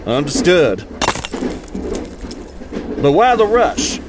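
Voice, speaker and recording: A man's voice: sing-song, extremely slow, heavily acted. A man playing a thoughtful, questioning steam train crewman in an audio drama, speaking in a curious, reflective way. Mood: curious, easygoing. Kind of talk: amateur audio drama acting. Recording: noisy rumbling train background.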